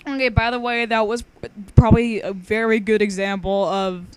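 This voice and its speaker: A woman's voice: a little high, steady tone, normal speed, darkish tone, smooth. A young woman reading a story aloud casually with banter, informal and giggly, often stumbling over her words. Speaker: amateur reader of own fan fiction. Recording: noisy homemade amateur recording.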